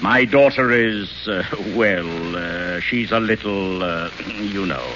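A man's voice: deep voice, takes it slowly, pushed and bright, dull audio, full character voice. An actor playing a flustered, embarrassed middle-aged father who stammers, in a hissy old radio broadcast. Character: flustered wealthy father of grown daughters. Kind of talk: 1930s radio play acting.

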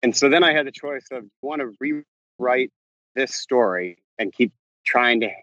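Man, forceful bright tone, halting speech with restarts, dull dry recording. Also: mid-range voice, no room sound. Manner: halting, frequent restarts and self-corrections. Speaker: novelist guest. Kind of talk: conversational interview answers. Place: walking around outdoors on a campus.

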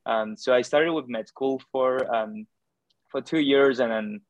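A man's voice: mid-range voice, a little slow, dry recording. Hesitant young man. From France. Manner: hesitant, many fillers and restarts. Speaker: podcast guest, doctoral student. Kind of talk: casual interview answers.

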